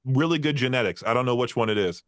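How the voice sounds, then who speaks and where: A man's voice: medium pitch, expressive, fast talker, no echo, clean studio sound. A man hosting a podcast, telling long stories in a casual, rambling way with lots of fillers. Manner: rambling storyteller, frequent fillers and laughs. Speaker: podcast host. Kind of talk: casual interview chat.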